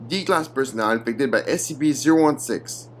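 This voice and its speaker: A man narrates a clinical horror document in a formal, measured way. His pitch is average for a man. The recording has a faint hum and noise behind the voice.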